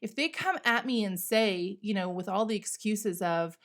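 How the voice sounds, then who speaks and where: Woman, medium pitch, podcast host, casual solo talk, candid, conversational, emphatic, salty. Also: moderate pace, fairly bright tone.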